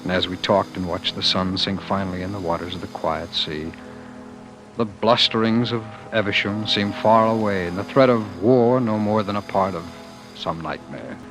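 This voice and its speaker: An actor playing a meek, dreamy husband who imagines himself a great leader, in earnest, dramatic old-time radio style. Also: male voice, sits low, normal speed, natural voice, rough recording. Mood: brooding, wistful. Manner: earnest, dramatic, brooding, hesitant. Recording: vintage radio broadcast with music behind.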